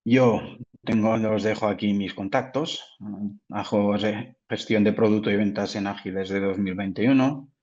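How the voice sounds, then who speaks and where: Man, Portuguese accent, deep voice, careful restarts, webinar talk, dull lo-fi sound. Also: unhurried, darkish tone. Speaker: product manager presenting. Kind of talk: webinar presentation. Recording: dull lo-fi audio.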